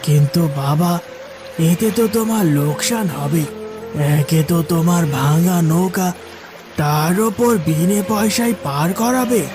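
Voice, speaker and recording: A man playing a gentle, humble old man in a cartoon, speaking with hesitant politeness. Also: fairly high pitch, slow. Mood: gentle, grateful. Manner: hesitant, pleading. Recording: music behind the voice.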